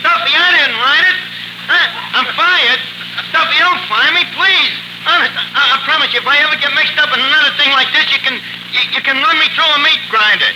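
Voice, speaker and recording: High-pitched, pompous bumbling tavern manager. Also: male voice, brisk. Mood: pleading. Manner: pompous malapropisms, fumbling delivery. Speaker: host. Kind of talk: 1940s radio comedy acting. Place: radio show broadcast. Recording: noisy 1940s radio broadcast recording.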